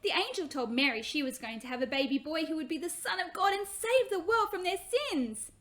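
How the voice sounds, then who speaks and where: A woman voicing an excitable, devout little girl in a children's story, eager and full of questions. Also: very high voice, a little fast, voice pushed up. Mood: excited.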